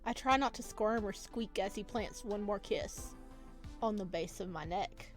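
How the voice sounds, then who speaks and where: A woman's voice: mid-range voice, measured pace. A woman chatting casually and giggly as she plays a game and reads its story text aloud. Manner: chatty, giggly, reacts aloud while reading. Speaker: let's play host and reader. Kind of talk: casual game commentary and reading visual novel text aloud. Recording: music behind the voice.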